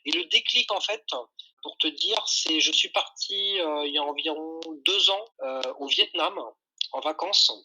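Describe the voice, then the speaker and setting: A man's voice: a little high, measured pace, pushed and bright, rough recording. A man, answering interview questions in an informal, enthusiastic, explanatory way. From France. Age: 40s. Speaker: podcast guest, tour guide and photographer.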